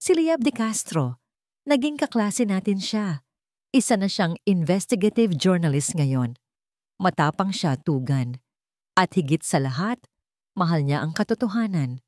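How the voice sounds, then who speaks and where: A woman's voice: on the low side, animated, measured pace, pauses often, darkish tone, dry recording, clean studio sound. Woman reading as an anxious runaway young bride. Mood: hopeful, earnest. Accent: Filipino. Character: frightened runaway young bride, clinging to hope.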